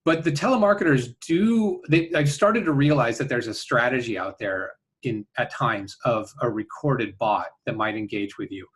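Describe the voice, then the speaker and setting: A man answering interview questions conversationally, earnest and halting, often checking in with a "right?". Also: mid-range voice, fairly bright tone. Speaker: interview guest, company founder.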